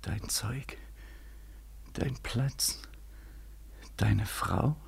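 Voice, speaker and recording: An actor playing a despairing, haunted young war veteran in a 1940s radio play, halting and anguished, swinging from hushed to frantic. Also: male voice, on the low side, gravelly, breathy edge, in a whisper, full character voice. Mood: bitter, hollow.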